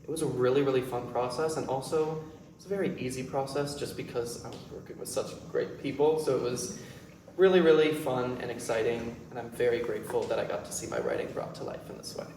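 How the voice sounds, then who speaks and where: A man's voice: medium pitch, fast, darkish tone. Guy director answering audience questions, earnest, echoey room with a bit of noise. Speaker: playwright and director. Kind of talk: audience Q&A after a staged reading. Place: roomy indoor performance space. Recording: echoey room, distant mic, background noise.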